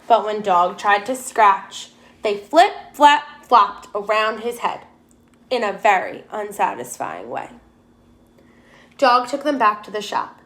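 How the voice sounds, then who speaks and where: A woman's voice: medium pitch, expressive, slow pace, a bit bright. A woman teacher reading a children's storybook aloud expressively, putting questions to her young listeners.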